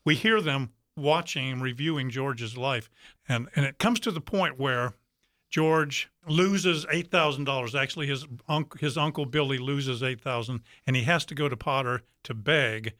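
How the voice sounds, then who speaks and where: A man's voice: medium pitch, moderate pace, sharp tone, dry recording, studio quality. A man explaining things as a theater guest in a radio interview.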